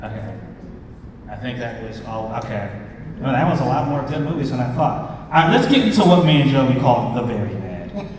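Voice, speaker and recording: A man's voice: medium pitch, sing-song, moderate pace, a little mellow. A man hosting a casual convention panel, chatting with informal, slangy banter. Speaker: panel host. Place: large convention panel room with audience. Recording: echoey hall, distant mic, crowd noise.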